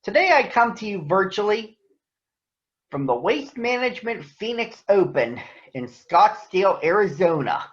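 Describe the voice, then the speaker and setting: A man's voice: sits high, big pitch swings, unhurried. Man reading to schoolchildren, dull lo-fi sound. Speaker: picture book reader for schoolchildren. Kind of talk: addressing children, then read-aloud storytelling.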